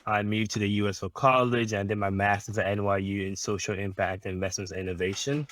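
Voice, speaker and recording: A man from Ghana, an impact investor, answering interview questions in a measured, explanatory way with frequent ums and restarts. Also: lowish voice, level tone, a bit bright, no room sound.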